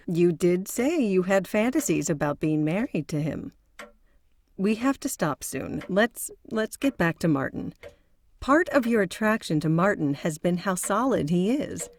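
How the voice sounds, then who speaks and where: A woman playing a measured, analytical psychotherapist in an audio drama. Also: fairly low pitch, slight background noise. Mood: calm, probing. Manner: calm, probing, analytical. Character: measured, probing female psychotherapist.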